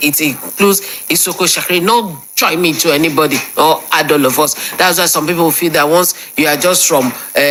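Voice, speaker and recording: A man's voice: fairly high pitch, pushed and bright, a little hiss or hum. A man with a Nigerian accent speaking emphatically in a played clip, switching between English and Pidgin. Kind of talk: casual spoken message.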